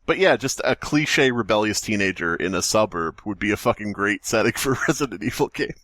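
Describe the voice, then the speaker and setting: Animated man riffing excitedly, dry close sound. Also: lowish voice, a little fast, dry recording. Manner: excitable, riffing, freely swearing. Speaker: podcast co-host. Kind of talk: casual chat about video games.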